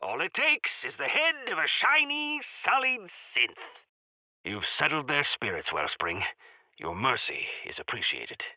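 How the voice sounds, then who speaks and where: Spry, gravelly old rebel elder, wildly animated. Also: male voice, a little high, measured pace, no echo, full character voice. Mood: formal, sly. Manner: grandiloquent, animated, mischievous. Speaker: video game voice actor. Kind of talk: theatrical video game character dialogue.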